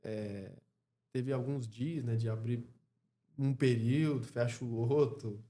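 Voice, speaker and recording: A Brazilian man speaking as a podcast guest in a casual interview, reflective and halting, often checking in with short tag questions. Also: medium pitch, fairly even intonation, mellow. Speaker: podcast guest, clothing store co-founder.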